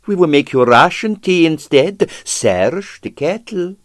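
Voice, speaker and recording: A male narrator puts on a preening Russian ballet dancer from a storybook. He fawns and gushes theatrically, admiring himself as he goes, and his pitch leaps about in a very dry recording. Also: expressive, measured pace, dry recording. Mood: fawning. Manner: effusive, theatrical, self-regarding. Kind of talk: acted audiobook character.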